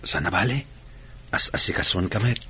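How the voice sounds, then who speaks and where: An actor with a Filipino accent playing a patient, caring young husband who coaxes and soothes in a radio drama. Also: male voice, low-pitched, animated, moderate pace, bright, forceful tone, husky, heavily acted. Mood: coaxing, concerned. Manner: coaxing, soothing. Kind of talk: Ilocano radio drama acting.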